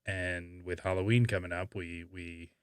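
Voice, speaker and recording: Male podcast host, low register, casual and halting, dry close sound. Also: level tone, smooth, dry recording. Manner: halting, thinking aloud. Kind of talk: casual conversational podcast talk.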